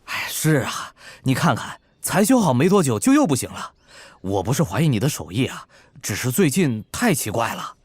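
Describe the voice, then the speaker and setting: An excitable, fretful villager in an animated fantasy. His voice is husky, with hugely varied intonation. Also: mid-range voice, normal speed, no room sound. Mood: worried.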